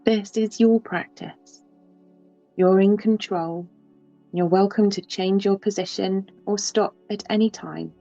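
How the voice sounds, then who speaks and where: A woman guides a deep-rest yoga nidra in a slow, hushed, mellow voice. She lets long pauses settle between phrases. Faint music plays under a slightly dull, muffled recording. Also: steady tone. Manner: soft, unhurried, with long pauses.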